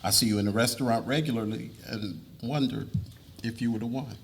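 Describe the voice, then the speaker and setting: A man's voice: mid-range voice, a little fast, lightly acted. A man reading the part of an earnest, polite young college student in a dramatic reading of a novel.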